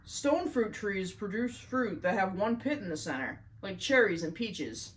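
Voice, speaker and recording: A woman's voice: mid-range voice, big pitch swings, unhurried, poor audio. A woman voicing a proud, earnest farm girl explaining things in a children's storybook.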